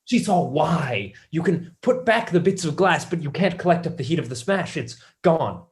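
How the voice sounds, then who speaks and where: A man's voice: a little high, lively intonation, moderate pace, natural voice. A man playing an earnest young scientist in a staged play reading, talking in an explanatory way. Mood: earnest, absorbed.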